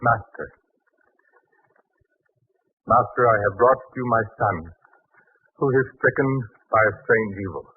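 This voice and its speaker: An actor playing a doubting, determined father of a sick son, with solemn, halting speech in 1940s radio drama style. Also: medium pitch, husky. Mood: pleading. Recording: noisy, narrow-band 1940s radio recording.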